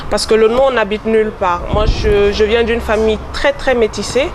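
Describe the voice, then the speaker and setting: A young woman singer, answering interview questions in a relaxed, chatty way and laughing easily. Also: fairly high pitch, normal speed. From Guinea. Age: young adult. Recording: outdoor recording, traffic noise behind.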